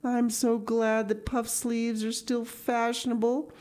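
An excitable young storybook girl, acted out by a woman. Her voice sits high and lifts with each gushing exclamation. She is earnest and dramatic, pouring out her feelings in rapture. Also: takes it slowly. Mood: earnest, elated.

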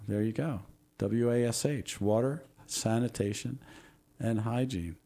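A man hosting a show, reading corny jokes and chuckling at his own puns. His voice sits in a middle register, and he is losing it, stopping now and then to clear his throat. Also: medium pitch, unhurried, dark tone. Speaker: show host.

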